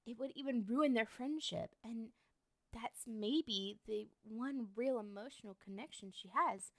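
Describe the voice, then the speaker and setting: A woman's voice: a little high, a little slow, fairly bright tone, no room sound. A woman playing a lovestruck, obsessive young woman in an ASMR roleplay, talking to the listener in a coy, rambling, hesitant way. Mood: lovesick, exasperated.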